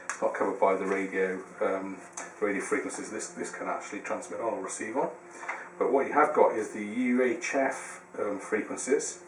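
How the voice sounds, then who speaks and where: A man's voice: lowish voice, fairly even intonation, moderate pace. A man presenting a casual how-to demonstration, explaining step by step in a hesitant way. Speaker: tutorial presenter. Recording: roomy, distant mic, faint noise.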